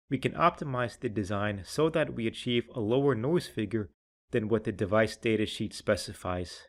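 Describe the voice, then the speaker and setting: A middle-aged man, a sales and applications engineer, reading a scripted technical explainer in a measured, precise way. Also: mid-range voice, dry recording, clean studio sound.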